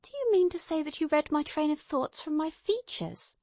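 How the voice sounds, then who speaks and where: A woman reading the part of an earnest, easily astonished gentleman companion in an audiobook, formal and emphatic. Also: high voice, lively intonation, normal speed, dry recording, pitched above the performer's own voice. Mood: puzzled, intrigued.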